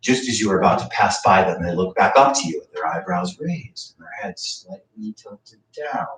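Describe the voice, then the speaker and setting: A man's voice: fairly low pitch, sing-song, normal speed, creaky, crowd noise. Guy acting bitter drunk widower, mocking and dramatic, voice all over the place, echoey stage reading. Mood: bitter, scornful. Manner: mocking, theatrical, needling, dwelling on words. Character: bitter drunken man tormented by grief and guilt. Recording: roomy, echoey, distant mic.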